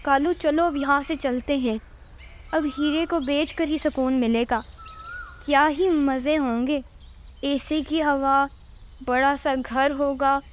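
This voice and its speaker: A woman's voice: high voice, slight background noise. A woman playing a greedy, haughty female crow, a boastful and demanding wife in a children's cartoon. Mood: gloating. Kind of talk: Hindi cartoon dubbing.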